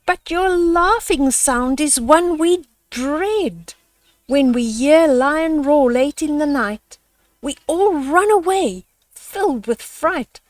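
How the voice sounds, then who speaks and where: A woman's voice: high voice, lively intonation, slow, dry recording, pitched above the performer's own voice. A woman voicing a tall, dismissive giraffe who retorts emphatically in a children's storybook. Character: tall giraffe, frankly dismissive of a would-be king.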